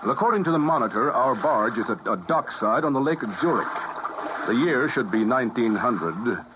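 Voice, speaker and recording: A man's voice: medium pitch, animated, normal speed, muffled recording, natural voice. A man playing a precise, instructive physicist operating a time machine, in a 1950s radio drama on a hissy recording.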